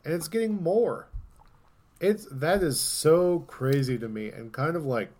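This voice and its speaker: A man's voice: a little high, lively intonation, unhurried, a little mellow. A man co-hosting a gaming podcast in casual conversation, thinking aloud with some hesitation. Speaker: podcast co-host.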